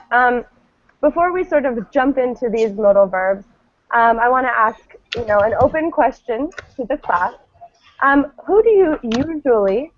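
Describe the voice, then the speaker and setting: A woman from the United States teaching an online English class, speaking slowly with many ums, over a video call. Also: a little high, no room sound. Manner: friendly, many ums, slow clear talk. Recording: dull lo-fi video call audio.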